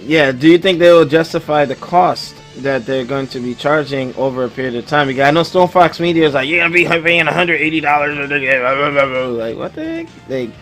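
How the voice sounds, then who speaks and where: A man hosting a casual group chat show, speaking in an informal, slangy and excitable way. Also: fairly high pitch, moderate pace, hardly any pauses, faint music behind. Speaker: host.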